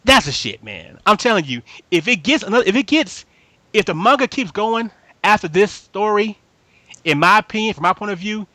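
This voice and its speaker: A man with a fairly high, forceful voice talks casually as a podcast host. He is emphatic and slangy, circles back to repeat his points and keeps checking he is understood. The sound is dry, with little room to it. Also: sing-song, normal speed, dry recording. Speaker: podcast host reviewing anime. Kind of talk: casual fan chat.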